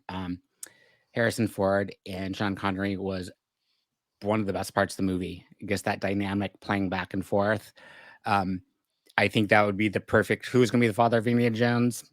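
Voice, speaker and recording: A man giving relaxed, hesitant opinions full of fillers as a podcast panelist. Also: on the low side, normal speed, no echo. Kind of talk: casual podcast chat.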